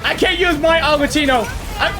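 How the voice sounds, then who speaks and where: A man reacting to a boss fight as he plays, exclaiming and shouting constantly in excitement. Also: very high voice, a little fast, over music. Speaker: gaming channel host. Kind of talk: live gameplay reaction.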